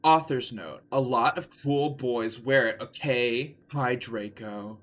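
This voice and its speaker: A man streaming a comic dramatic reading, narrating in a deadpan, mock-serious way. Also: mid-range voice, a little slow. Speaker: streamer reading fan fiction.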